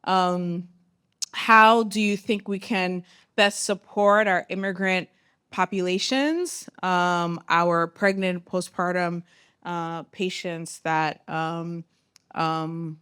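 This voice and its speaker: A woman moderates a symposium panel in a low, smooth, resonant voice. She speaks in a measured way and fills her pauses with ums. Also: fairly even intonation, fairly bright tone. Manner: measured, many ums and uhs.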